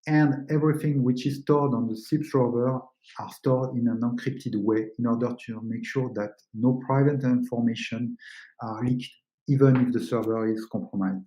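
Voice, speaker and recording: A man's voice: mid-range voice, fairly even intonation, dark tone. Man presenting in an echoey room. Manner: explanatory, halting non-native English phrasing. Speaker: webinar presenter, company co-founder. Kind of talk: technical webinar presentation. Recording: roomy, echoing sound.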